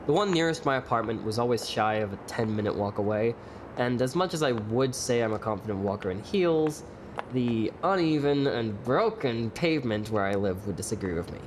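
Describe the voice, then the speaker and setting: A man's voice: mid-range voice, animated, fairly quick, background noise, lightly acted. A man playing a weary, glamorous performer who narrates his own story in an audio drama with sardonic, theatrical grumbling. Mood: wry, resigned. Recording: music behind the voice.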